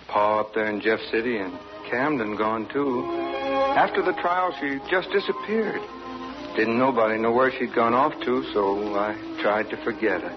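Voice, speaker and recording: A dull vintage radio recording with music. An actor portrays a young country son with a rural American accent, earnest and plain-spoken, in a mid-range voice. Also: male voice, mid-range voice, natural voice. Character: earnest young farm son telling his story. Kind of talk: old-time radio drama narration and dialogue.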